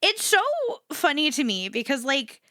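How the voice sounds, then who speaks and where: A woman with a high, very animated voice that is slightly rough at the edges, chatting to her stream audience. She rambles candidly, swears freely and circles back to repeat her points. The sound is close and dry. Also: normal speed, no room sound. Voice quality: versatile voice, swinging between low and high. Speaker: livestreamer chatting with viewers. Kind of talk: casual rambling chat to an audience.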